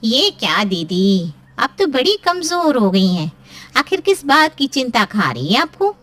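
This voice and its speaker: A woman's voice: fairly high pitch, big pitch swings, unhurried, fairly bright tone, far from the mic, heavily acted. A woman playing a reassuring, persuasive middle-aged aunt in story dialogue, emphatic and coaxing. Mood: concerned, reassuring.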